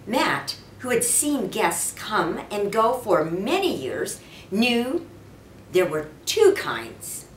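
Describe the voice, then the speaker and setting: Slow, expressive woman reading a storybook. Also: mid-range voice. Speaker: mayor reading aloud. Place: indoor office or lounge. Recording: roomy, distant mic with faint noise.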